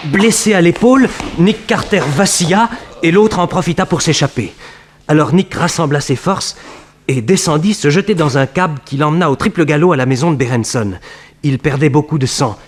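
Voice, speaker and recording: An actor playing an earnest detective's sidekick, narrating a 1960s French radio serial in a measured, dramatic storytelling style. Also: male voice, a little high, lightly acted. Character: earnest male detective's sidekick recounting adventures.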